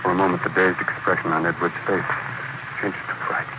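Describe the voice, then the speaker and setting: A man's voice: low voice, level tone, brisk, heavy background noise, lightly acted. A man narrating a 1940s radio drama in a solemn, ominous, dramatic manner. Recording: old radio recording, loud music behind. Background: music.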